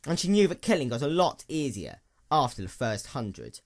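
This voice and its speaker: A man narrating scripted fiction as an audiobook, with a dramatic, deliberate delivery. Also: mid-range voice, sing-song.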